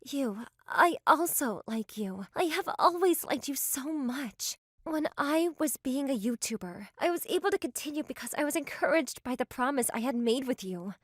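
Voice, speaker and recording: Actress playing a devoted young woman, high lilting voice, sweet, earnest, manga romance. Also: lively intonation, normal speed, hardly any pauses, no echo, clean studio sound. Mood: shy, affectionate. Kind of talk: manga dub dialogue.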